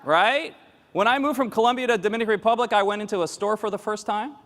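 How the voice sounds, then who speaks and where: A man in his forties giving a lively conference keynote, joking and quizzing the audience as he talks. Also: high voice, fast, a little hiss or hum. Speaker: keynote speaker. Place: large conference hall with audience at tables. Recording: long reverb of a large hall.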